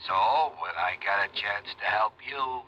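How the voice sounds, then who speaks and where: An American man playing a rough, loyal reformed crook in a 1950s-style radio crime drama, laconic and street-tough. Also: fairly low pitch, measured pace, sharp tone, exaggerated voice. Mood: guarded, earnest. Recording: dull vintage radio recording.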